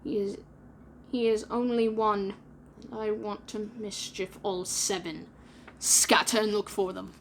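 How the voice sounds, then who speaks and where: A woman voicing a menacing one-handed male pirate captain who talks darkly, slowly and in a hoarse whisper in a storybook reading. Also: mid-range voice, fairly even intonation, slight background noise. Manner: dark, brooding, slow, growling and whispery.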